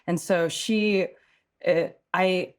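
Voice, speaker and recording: A woman's voice: fairly low pitch, fairly even intonation, drawn-out words, clear, resonant tone. A woman from California, an actor being interviewed, who answers in a reflective way and explains things at length. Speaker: actor guest.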